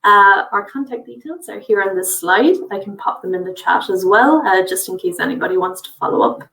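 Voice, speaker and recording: A woman from a college's international office talks through a webinar presentation in a friendly, informative way, filling pauses with uh and um. She sits back from her microphone, and the room rings around her voice. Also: medium pitch, creaky, a bit bright, echoey room, distant mic, low-quality recording. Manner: friendly, informative, frequent uh and um fillers.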